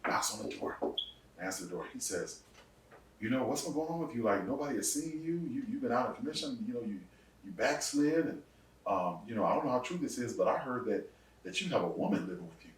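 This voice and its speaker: A man in his forties, a pastor, telling his testimony in a casual podcast interview, laughing often and jumping up in pitch for punchlines. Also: quick pace, distant mic. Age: 40s. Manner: animated storyteller, laughs often, rises sharply for punchlines. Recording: echoey room, voice off-mic.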